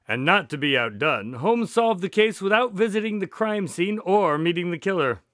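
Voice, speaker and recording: A man playing an earnest, measured doctor and detective's sidekick in a radio drama. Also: sits high, animated, fairly bright tone, no room sound, clean studio sound. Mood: earnest storytelling. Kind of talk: radio drama dialogue and storytelling.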